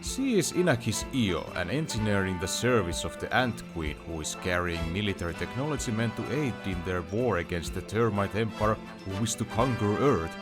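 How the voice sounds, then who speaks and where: A man's voice: lowish voice, lively intonation, normal speed, few pauses. A man reviewing a webcomic in scripted narration, with a wry, theatrical manner. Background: music under the voice.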